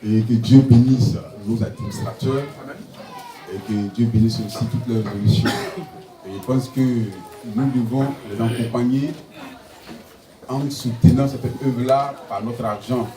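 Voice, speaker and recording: A man's voice: medium pitch, unhurried, dark tone, soft background music. A pastor in his forties preaching a sermon, punctuating his phrases with alleluia and amen. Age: 40s. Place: church hall. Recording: echoey hall, distant mic, noisy.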